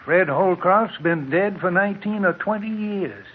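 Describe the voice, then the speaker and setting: An actor playing a scoffing desert-town old-timer with a husky voice and folksy, knowing rustic talk in an old radio drama. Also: male voice, high-pitched, unhurried. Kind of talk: 1940s radio drama acting. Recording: tinny vintage radio recording.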